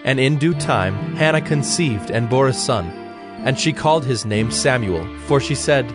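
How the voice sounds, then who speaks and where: A man's voice: mid-range voice, animated, moderate pace, music in the background. A man narrating scripture from a script in a solemn style.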